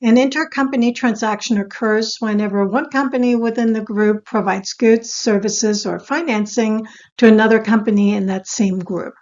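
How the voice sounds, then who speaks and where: A woman giving a scripted explainer as an instructor, in a measured, step-by-step teaching manner. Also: on the high side, fairly even intonation, darkish tone, dull audio. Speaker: accounting instructor.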